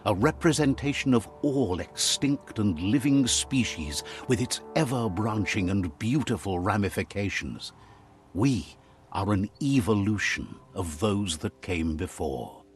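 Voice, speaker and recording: A low male voice with lively, swooping intonation narrates a video game fable. He sounds like a wry old-style storyteller, measured and philosophical, with music playing underneath. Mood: reflective, sage.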